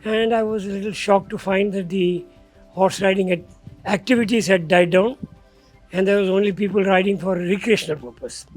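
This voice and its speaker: A man's voice: sits high, fairly even intonation, moderate pace, faint music behind, low-quality recording. An older man, a riding school founder recalling his past steadily in a documentary interview.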